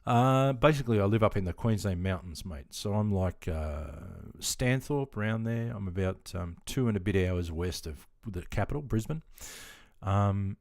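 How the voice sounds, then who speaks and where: Laid-back low-voiced Australian man. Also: lively intonation, moderate pace, darkish tone, no echo, clean studio sound. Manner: easygoing and chatty, filler-heavy, keeps calling people mate. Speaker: live streamer. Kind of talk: casual live-stream chat answering chat messages.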